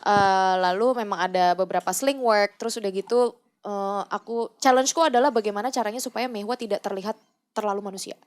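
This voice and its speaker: A woman's voice: mid-range voice, brisk. A young woman chatting as an actress guest on a podcast, mixing English phrases into her casual talk.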